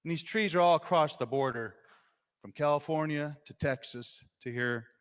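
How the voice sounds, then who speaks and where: A man who is a state legislator, giving an impassioned floor speech to explain his vote, rising to loud emphasis. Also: on the high side, moderate pace, smooth. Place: legislative chamber. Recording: long reverb in a legislative chamber.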